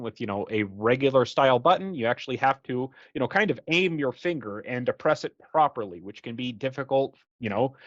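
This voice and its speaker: A man's voice: mid-range voice, no room sound. An American man giving a casual, explanatory product presentation in a conversational way, often saying "you know". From United States. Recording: dull, dry, lo-fi voice track.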